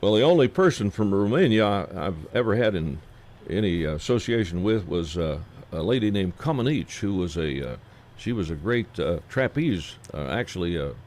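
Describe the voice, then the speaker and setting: A man hosting a casual radio interview, folksy and halting, with many fillers. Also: sits low, very animated, darkish tone. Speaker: radio host.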